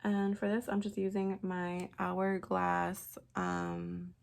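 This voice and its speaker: Young female beauty vlogger, raspy and a bit stuffy, low-ish gravelly voice, rambling casual delivery. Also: monotone, slow pace. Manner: chatty, rambling with many fillers. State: raspy from a sinus infection. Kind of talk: casual makeup tutorial.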